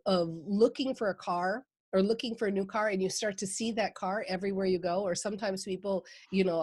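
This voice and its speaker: A woman's voice: mid-range voice, darkish tone, no echo. A woman from Minnesota with a Minnesota accent, a guest on a podcast interview, talking with enthusiasm and laughing often. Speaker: guest, mindset coach.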